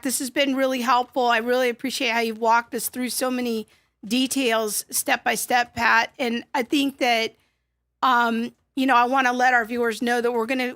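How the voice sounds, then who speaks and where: A woman's voice: fairly high pitch, a bit bright, dry recording. Woman co-hosting, dry close sound. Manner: chatty, thankful. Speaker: co-host. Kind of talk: talk show wrap-up. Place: small studio set with desk mics. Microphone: desk microphone.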